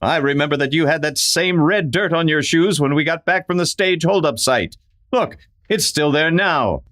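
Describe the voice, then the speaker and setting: A man's voice: a little high, normal speed, fairly bright tone, natural voice. A man playing an upright, commanding masked western hero, stern and formal, in an old-time radio drama. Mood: stern accusing.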